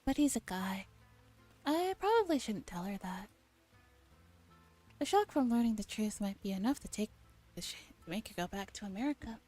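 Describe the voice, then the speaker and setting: A woman livestreamer softly reading a visual novel aloud in ASMR style, acting out the dialogue expressively. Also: medium pitch, moderate pace, pauses often. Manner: expressive, acts out the dialogue lines. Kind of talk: soft ASMR read-aloud with translated dialogue. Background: game music.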